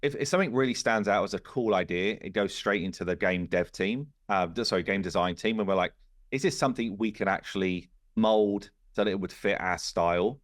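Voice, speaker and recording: Low-voiced man chatting, dry close sound. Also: a little fast, no room sound. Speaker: game studio co-founder on a podcast. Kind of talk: casual podcast conversation.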